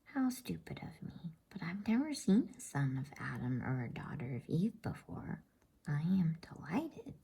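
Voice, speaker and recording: A woman voicing a polite, flustered, inquisitive male faun in a storybook, courteous and hesitant, in a breathy whisper. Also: low voice, big pitch swings, a little slow, dark tone, exaggerated voice. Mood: flustered, delighted. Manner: courteous, hesitant, repeats himself. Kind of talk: whispered bedtime storybook reading.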